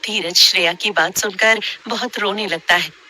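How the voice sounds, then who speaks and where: A woman storyteller reads a scripted horror tale. Her voice sits at a middle pitch with a very bright, intense edge. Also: steady tone, normal speed.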